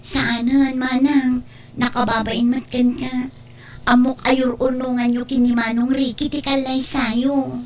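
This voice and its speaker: A woman's voice: high voice, level tone, a little slow. A woman acting a young, polite pregnant college student and wife in an Ilocano radio drama, with a Filipino Ilocano accent. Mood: warm, reassuring.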